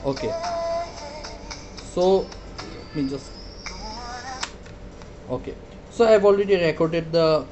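Male product reviewer, fairly high voice, informal step-by-step demo style, halting delivery with repeated words, faint music in background. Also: mellow, dull audio. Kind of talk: casual product demonstration.

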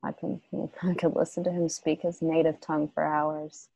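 A woman acting a low-voiced, grieving western widow. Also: level tone, vocal fry, warm tone, husky, no echo, full character voice, rough recording. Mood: wistful, tender. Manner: halting, understated, terse. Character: grieving, guarded widow in a western, quietly resolute. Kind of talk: radio play acting. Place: home, on a group video call.

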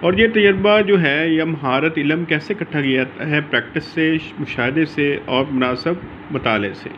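Higher-pitched Pakistani man lecturing. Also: moderate pace, highs cut off, slight background noise. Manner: mixes English legal terms into speech. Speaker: law lecturer. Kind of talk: informal lecture explaining from handwritten notes.

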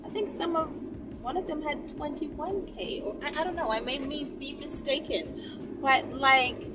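A woman's voice: sits high, steady tone, measured pace. A woman in her thirties chatting casually and candidly to camera, answering viewer questions while driving. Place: inside a moving car, daytime. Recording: distant mic, loud car road noise. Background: vehicle and road noise.

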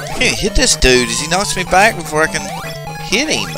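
A man's voice: on the high side, very animated, fairly quick. A man giving casual, off-the-cuff commentary as he plays a retro video game, with informal exclamations and reactions. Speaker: gaming channel host. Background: retro video game music.

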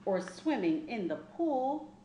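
A middle-aged woman reads a children's picture book aloud in an expressive, sing-song storytelling style, speaking deliberately into a webcam mic in a small room. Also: a little high. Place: small plain indoor room. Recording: roomy echo, faint background noise.

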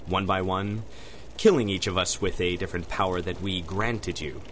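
Pompous, low-voiced doctor. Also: male voice, fairly even intonation, moderate pace, fairly bright tone, slight background noise. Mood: condescending. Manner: pompous, clinical. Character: arrogant, condescending male sanitarium doctor. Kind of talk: 1930s-style radio drama acting.

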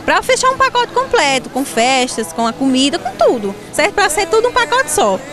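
A woman's voice: extremely high pitch, very animated, fairly quick. A woman, giving casual, hesitant interview answers peppered with confirming tags. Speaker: photography business representative being interviewed. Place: outdoor evening fair tent. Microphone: handheld reporter microphone.

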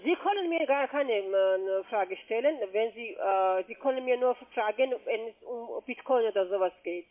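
A woman's voice: a little high, lively intonation, moderate pace, few pauses. A woman who is not a native German speaker, making an insistent call-centre sales call in broken grammar over the telephone. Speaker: scam call centre agent. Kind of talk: cold-call sales pitch. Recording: muffled narrowband phone line.

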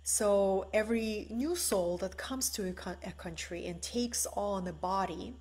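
Slow, soft-spoken woman from the former Soviet Union. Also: medium pitch, breathy edge. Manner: slow, soft and meditative, often asking "right?". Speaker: spiritual teacher. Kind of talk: live-streamed spiritual talk. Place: bright living room at home, indoors.

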